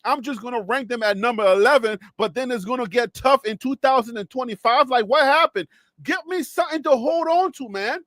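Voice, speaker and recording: Man, high voice, casual sports host, rambling jokes, dry close sound. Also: brisk, fairly bright tone, dry recording. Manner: rambling, joking, laughs often, talks to viewers.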